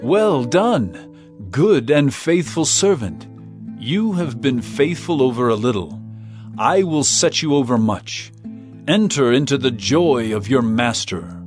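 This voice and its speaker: A man's voice: medium pitch, very animated, slow, faint music behind. A man voicing a grave, authoritative master and king who judges in a declamatory way, in a scripture dramatization. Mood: approving. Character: stern, commanding male master and judging king.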